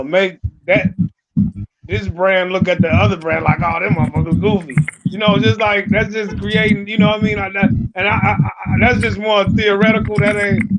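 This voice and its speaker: A man's voice: high-pitched, muffled recording, background noise. A man in casual podcast chat, talking bluntly and emphatically, restarting and repeating his points.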